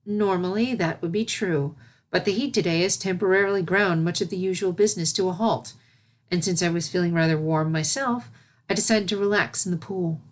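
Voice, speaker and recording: A woman voicing a regal pony princess in a storybook reading, a gentle, patient mentor with a measured, formal way of speaking. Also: fairly low pitch, close to an everyday voice. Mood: patient, explanatory.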